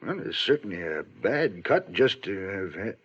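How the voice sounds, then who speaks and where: A genteel, nervy frontier hotel clerk with a lively, swooping delivery. He is fussy and polite in the old radio drama style, on a slightly dull recording. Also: fairly low pitch, moderate pace, slightly rough. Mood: flustered. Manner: genteel, easily flustered. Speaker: actor in a radio western. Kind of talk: old-time radio western acting.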